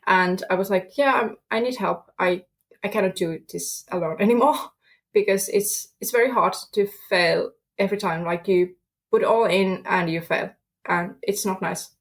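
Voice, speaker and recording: A woman's voice: mid-range voice, moderate pace. A young woman from Finland speaking English with a Finnish accent as a podcast guest, searching for words with many fillers. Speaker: guest student. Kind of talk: podcast interview.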